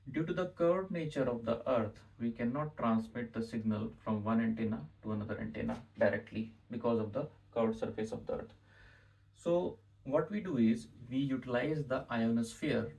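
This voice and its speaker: A middle-aged man with an Indian accent explains as a physics teacher, deliberately and repeating key phrases, in a small room. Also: medium pitch, creaky, darkish tone. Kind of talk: explanatory whiteboard lesson. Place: small indoor room with whiteboard. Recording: distant microphone in a room.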